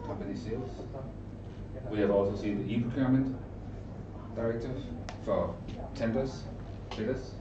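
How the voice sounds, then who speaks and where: A middle-aged man from Denmark with a Danish accent, giving an informal conference presentation in short, halting, explanatory phrases. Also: lowish voice, fairly even intonation. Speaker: trainer and consultant giving a presentation. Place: indoor event room with an audience. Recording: roomy, distant mic, narrow muffled sound, noisy.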